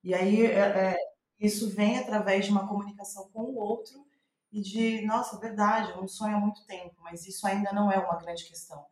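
A woman's voice: mid-range voice, fairly even intonation, brisk, darkish tone. Brazilian woman in an echoey room. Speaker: playwright, guest. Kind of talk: reflective talk about own writing. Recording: roomy, echoing sound.

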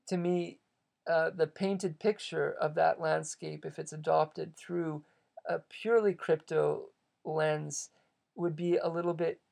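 A man's voice: a little high, gravelly, darkish tone. A man hosting a solo podcast episode, thinking aloud in a rambling, reflective way and often restarting his sentences. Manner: reflective, philosophizing. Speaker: podcast host.

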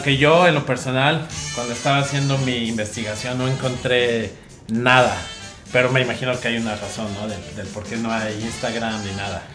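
A man's voice: medium pitch, echoey room. A man hosting a casual podcast, laid-back and playful. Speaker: podcast host. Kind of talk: casual chat.

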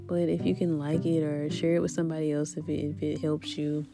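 A woman hosting a spiritual podcast in a casual, rambling way with a deep voice that is still recovering from an illness. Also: flat delivery, brisk, creaky, music in the background. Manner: rambling, faith-filled, soft laughs. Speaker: podcast host.

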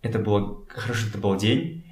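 A man talking casually as a podcast guest, telling stories in a halting way as he searches for words. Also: lowish voice. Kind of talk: casual conversational storytelling. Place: indoors in a home. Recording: echoey room.

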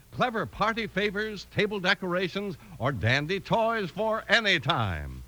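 A man's voice: sits high, fairly bright tone. An American man announcing in vintage radio style, with emphatic, enthusiastic scripted delivery.

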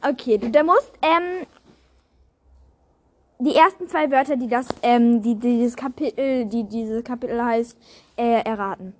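A woman's voice: fairly high pitch, unhurried. A woman co-hosting a casual podcast game, often searching for words, in a slightly noisy amateur recording. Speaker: podcast host. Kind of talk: casual chat, guessing game. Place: outdoors.

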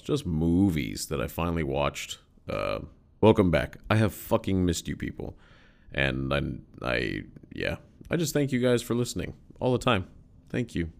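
A very deep, gravelly male voice with a lively, swooping pitch, stuffy and a little nasal from a head cold. He chats loosely and self-deprecatingly to his listeners, swearing freely, and is recorded on a USB condenser mic in a hotel room. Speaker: podcast host. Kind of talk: casual solo podcast chat.

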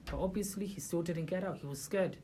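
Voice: mid-range voice, fairly quick, mellow, a little hiss or hum. Speaker giving an informal religious talk. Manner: rhetorical questions to the listeners, hesitant restarts. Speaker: religious talk leader for a group. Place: room with listeners present.

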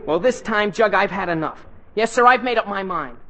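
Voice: medium pitch, expressive, normal speed, noisy background, natural voice. An actor playing an earnest, melodramatic teenage boy in a 1940s radio comedy, with a hesitant, plaintive manner. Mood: despondent, indignant. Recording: muffled 1940s radio broadcast recording.

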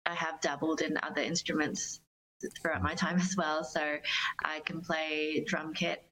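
A woman giving considered, measured answers in a conversational interview as a singer-songwriter guest. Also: low-pitched, little pitch movement, fairly bright tone, slight background noise, low-quality recording. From Australia.

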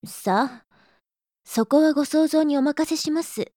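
Audio drama little sister, acted by a woman: high-pitched, formal and exasperated, a lecturing know-it-all, recorded close and dry. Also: measured pace, dark tone, no room sound. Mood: lecturing, skeptical. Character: prim, scolding know-it-all little sister of hapless brother. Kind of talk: Japanese audio drama acting.

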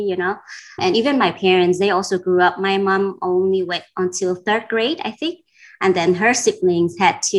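A woman from Denmark speaking as an interview guest, casual and reflective, often pausing with "you know". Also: mid-range voice, low-quality recording.

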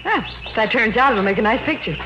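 Actress as expressive wife in vintage radio horror. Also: female voice, fairly high pitch, fast talker, lightly acted. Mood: bright, curious. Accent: American. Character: Helen, curious, uneasy wife exploring old places. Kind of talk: 1940s-50s radio horror drama acting. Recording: muffled, noisy old-time radio recording.

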